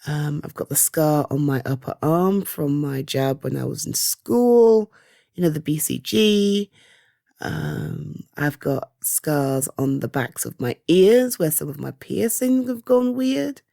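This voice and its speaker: Casting: actress playing caring British woman for intimate ASMR roleplay; low, mellow, halting, reassuring delivery. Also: vocal fry, dry recording, studio quality, heavily acted. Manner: hesitant, soft reassuring, halting pauses. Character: caring, reassuring woman comforting a loved one. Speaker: voice roleplay performer. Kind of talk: ASMR roleplay, one-sided intimate conversation.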